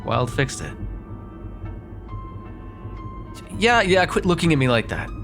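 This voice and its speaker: A man's voice: on the high side, wildly swooping pitch, a little fast, fairly bright tone, soft background music. A man playing a sardonic, grudgingly apologetic vampire in an immersive roleplay, talking in a wry, hesitant, conversational way with some swearing. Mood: wry, grumbling. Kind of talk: immersive ASMR roleplay acting, one side of a dialogue.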